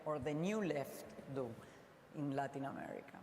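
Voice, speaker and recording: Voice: mid-range voice, moderate pace, darkish tone. A moderator at a university Q&A, organizing the queue and giving instructions to students. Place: university lecture hall. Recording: long hall reverb with crowd and noise.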